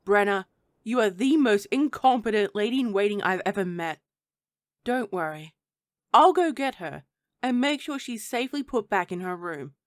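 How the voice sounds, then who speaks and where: A woman's voice: mid-range voice, lively intonation, moderate pace, dry recording, clean studio sound, lightly acted. A woman playing a prim, stern, dutiful attendant of a princess in a fantasy audio roleplay, speaking in a formal, proper, clipped way. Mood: disapproving.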